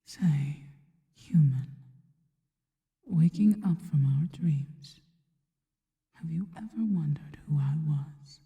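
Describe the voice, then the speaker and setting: A woman playing a seductive, sinister spider-woman in an ASMR roleplay, whispering breathily and slowly with intimate, formal, adoring deliberation. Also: deep voice, narrow, tinny audio. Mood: reverent, teasing. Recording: long reverberant echo.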